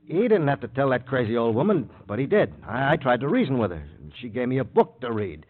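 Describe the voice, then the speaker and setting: Fast, swooping, desperate cornered killer. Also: male voice, mid-range voice, very animated. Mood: agitated. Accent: American. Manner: agitated, rambling, insistent. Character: desperate, cornered male killer confessing to police. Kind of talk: 1950s radio crime drama acting. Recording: muffled, narrow old radio recording.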